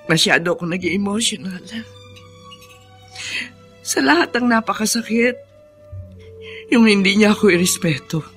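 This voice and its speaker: An actress in a Filipino radio drama playing an older hacienda housekeeper, humble and emotional. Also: female voice, mid-range voice, fairly bright tone.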